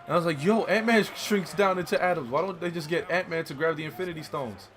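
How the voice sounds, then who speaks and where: A man's voice: a little high, quick pace, soft background music. A young man in a casual movie chat, speaking bluntly and with plenty of buddy-like slang. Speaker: co-host of a movie review chat.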